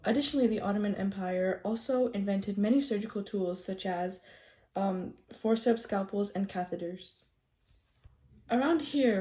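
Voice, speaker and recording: A young woman giving a scripted history presentation in character as a tour guide, polite and a little halting. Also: medium pitch, warm tone. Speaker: student presenter playing a loyal Janissary tour guide.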